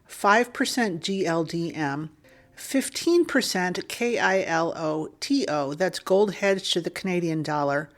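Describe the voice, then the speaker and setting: A woman co-hosting a podcast, reading a listener's email aloud in a steady, clear manner. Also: fairly low pitch.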